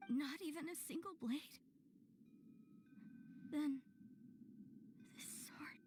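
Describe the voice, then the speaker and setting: A woman playing a devout, headstrong believer in a video game, dramatic and emphatic, with loud sound behind her. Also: high voice, over music. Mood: shocked disbelief. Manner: dramatic, halting, emphatic exclamations. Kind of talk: scripted video game voice acting. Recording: loud background sound throughout.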